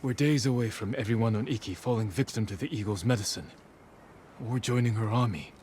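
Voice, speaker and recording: An actor playing a guarded, honourable young samurai lord in a dramatic video game, speaking in a measured, earnest way. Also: male voice, on the low side, darkish tone, a little hiss or hum, close to an everyday voice. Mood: grave, focused.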